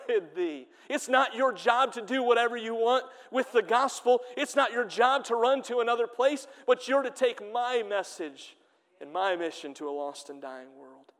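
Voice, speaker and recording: High-voiced fervent male preacher, hall reverb. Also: a bit bright. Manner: fervent preaching, swelling and dropping in volume; reads scripture in old Bible wording. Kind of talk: sermon.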